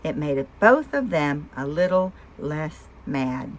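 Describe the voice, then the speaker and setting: A woman reading a picture book aloud for young children with expression, pausing to ask the listeners simple questions. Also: low-pitched, very animated. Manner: asks listeners simple questions between story lines. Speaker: storyteller and host of a read-aloud show.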